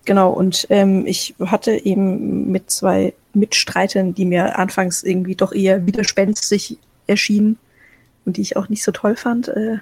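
A woman with a somewhat husky voice introduces her role-playing character, hesitant and full of fillers, with light jokes. The sound is dry and close, with no room around it. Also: medium pitch, little pitch movement, moderate pace, darkish tone, no room sound. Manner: hesitant, many fillers, joking. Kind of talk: casual chat.

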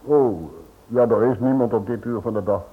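An actor playing an indifferent, curt night porter of an office building in a radio play. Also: male voice, fairly low pitch, moderate pace, lightly acted. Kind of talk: Dutch radio play acting. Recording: narrow, tinny old radio recording.

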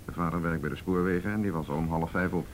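World-weary detective played by a man, low voice, blunt and factual, radio play with faint rumbling noise. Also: fast, close to an everyday voice. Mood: matter-of-fact. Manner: blunt, matter-of-fact. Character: blunt, world-weary male police detective briefing a colleague. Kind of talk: radio drama acting.

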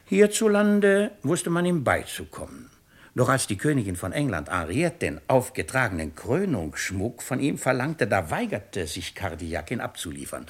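An actor playing a shrewd, pompous, mocking presiding judge in a 1950s radio play. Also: male voice, mid-range voice. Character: shrewd, sardonic male presiding judge of a French tribunal.

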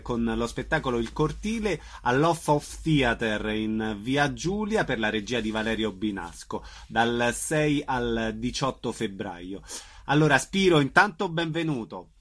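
A man's voice: medium pitch, fairly bright tone. A man hosting a radio show and interviewing guests in a conversational way.

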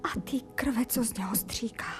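A woman acting in a radio play as a squeamish yet fascinated servant, talking in colloquial, often hushed asides. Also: a little high, whispered, over music, lightly acted. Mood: horrified awe.

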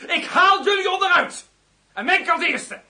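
An actor playing a zealous, accusatory public prosecutor in a Dutch radio play, probing and insistent. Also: male voice, very high voice, expressive, moderate pace, bright, forceful tone, full character voice. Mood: scornful, threatening. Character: sharp, zealous public prosecutor out to topple the federal police. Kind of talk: Dutch radio drama dialogue.

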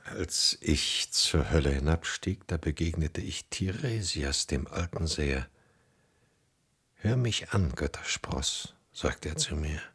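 A weary old seafaring hero in a radio play. His voice is extremely low and heavily gravelled, and he speaks in slow, brooding phrases. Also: exaggerated voice. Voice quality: gravelly, husky. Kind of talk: German radio drama acting.

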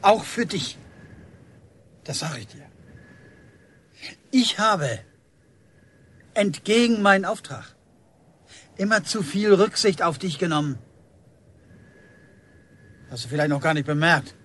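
A man's voice: high-pitched, expressive, slow pace, long pauses, fairly bright tone, heavily acted. A man acting in a radio drama as a stern, stubborn middle-aged village policeman and father, curt, defensive and menacing. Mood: bitter, defensive.

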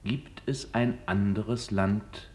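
A man playing a contemplative philosopher, reading from a letter deliberately in a radio feature. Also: fairly low pitch, a little mellow, husky.

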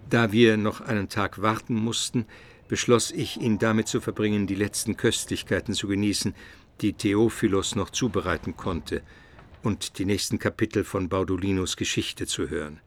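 An actor playing a cultured, cautious Byzantine gentleman in a radio drama, measured and thoughtful. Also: on the low side, steady tone, slight background noise, lightly acted. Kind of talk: radio drama acting and narration.

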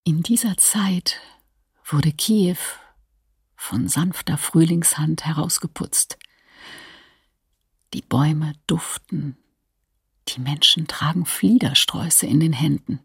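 Breathy, slow wistful female chronicler. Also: low voice, no room sound, studio quality, exaggerated voice. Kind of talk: documentary radio drama, lyrical diary-like reading.

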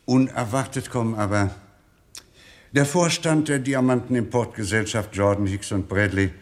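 A low-pitched male company boss played by an actor in a radio crime comedy. He speaks in measured, courteous business phrases and keeps a formal, smooth manner. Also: close to an everyday voice. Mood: awkwardly formal.

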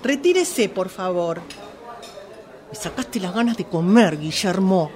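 A woman's voice: medium pitch, animated, unhurried, darkish tone, natural voice. A woman playing a bossy, menacing jilted girlfriend in a radio comedy, with a Rioplatense accent and a commanding, sarcastic manner. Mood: irritated. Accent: Rioplatense Argentine. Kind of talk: radio drama comedy acting.

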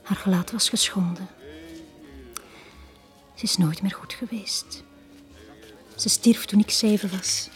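A woman's voice: mid-range voice, fairly even intonation, takes it slowly, pauses often, faint music behind, close to an everyday voice. An actress playing a wistful woman confiding her past in a radio drama, with a Flemish accent and an intimate, near-whispering delivery. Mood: sorrowful. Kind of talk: 1980s Dutch-language radio drama acting.

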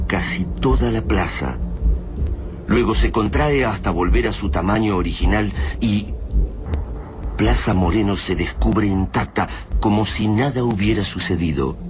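A man as deep, solemn Argentine radio-drama narrator. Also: a little slow, noisy background, natural voice. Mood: grave, ominous. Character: grave narrator of an alien invasion saga.

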